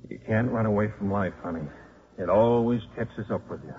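A man's voice: on the low side, a little fast, close to an everyday voice. An actor playing a scrappy, plain-spoken devoted father with blunt, colloquial delivery in 1950s radio drama style. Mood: gentle, resigned. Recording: muffled, hissy 1950s radio recording.

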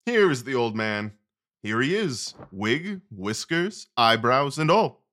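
A gentleman detective, played by a man for an audio drama. His mid-range voice is confident and commanding, with precise phrasing and a wry edge. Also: medium pitch, sing-song, takes it slowly, heavily acted. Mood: pleased, wry.

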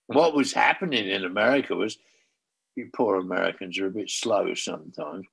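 Older man, former tour manager, raspy and gravelly, blunt and profane, enthusiastic bursts. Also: low-pitched, normal speed, fairly bright tone, rough recording. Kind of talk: casual interview reminiscing.

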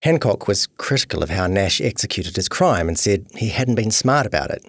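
A man's voice: fairly low pitch, animated, moderate pace, no echo, clean studio sound. A man reading a scripted true crime long-read as narrator. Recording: music behind voice. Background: guitar music.

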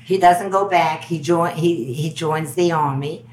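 Older New York woman, low voice. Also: a little slow. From Belgium. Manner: animated storyteller, acts out quoted dialogue. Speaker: guest. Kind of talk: storytelling interview.

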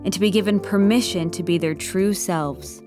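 A woman reading scripted narration for a comedic audio drama in a measured, wry storytelling manner. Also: mid-range voice, music in the background.

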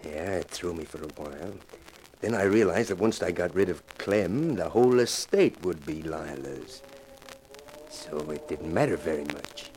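A menacing villain from a vintage radio mystery. The voice is low and often hushed, smug and gloating as it threatens. The old recording carries slight noise. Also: male voice, expressive, normal speed, poor audio. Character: menacing, smug young male killer, scheming and greedy. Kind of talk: 1950s radio crime drama acting.

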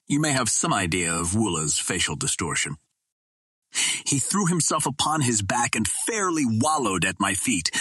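A man reading a literary adventure novel aloud as an audiobook narrator, in a measured, formal storytelling manner. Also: fairly low pitch, a bit bright, breathy edge, dry recording. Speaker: audiobook reader.

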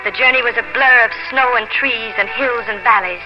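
A woman's voice: on the high side, normal speed, natural voice. An actress playing a determined young woman of the colonial frontier in a 1950s radio drama. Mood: wistful, resolute. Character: Nancy, determined young woman fleeing through colonial wilderness. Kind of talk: 1950s radio drama acting, first-person narration. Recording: dull vintage radio recording with music.

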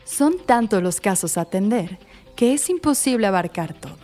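A woman narrates from a script in a documentary style. Her voice is mid-pitched and softly mellow, with light music underneath.

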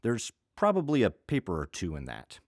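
A man's voice: on the low side, wildly swooping pitch, moderate pace, no echo, studio quality. An American man introducing an audio story series as host, in a wry, conversational scripted style.

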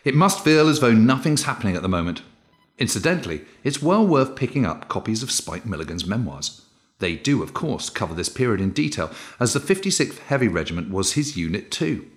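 A man's voice: fairly low pitch, very animated, a little fast. A man hosting and narrating a history podcast. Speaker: host, narrator.

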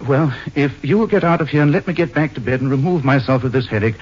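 An actor playing a suave, world-weary gentleman sleuth with a husky voice and a dry, sardonic delivery in an old radio mystery. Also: medium pitch, quick pace, lightly acted. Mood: resigned, dry. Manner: dry, hesitant, wry delivery. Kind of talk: 1940s-50s radio detective acting. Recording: muffled old-time radio recording.

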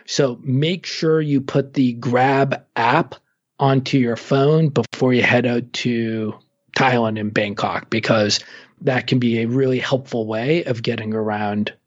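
A man speaks at a middle pitch in a relaxed, informative way, pausing on fillers as he explains. The recording is slightly dull and muffled, like remote audio. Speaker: travel guest expert. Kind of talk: casual travel advice conversation.

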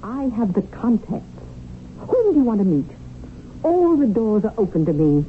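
An actress playing a poised, scheming, seductive widow in a 1970s radio drama, with a self-assured, insistent manner. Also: female voice, a little high, very animated, moderate pace. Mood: seductive, urging. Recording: tinny, noisy old radio broadcast.

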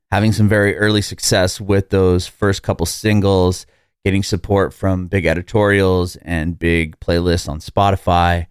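A very low-voiced man hosts a podcast, speaking casually with frequent fillers. His voice sounds dry and close, with no room around it. He comes across as friendly over a video call. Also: clean tone, no room sound. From California. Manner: relaxed, friendly, lots of fillers. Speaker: podcast host. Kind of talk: casual interview chat.

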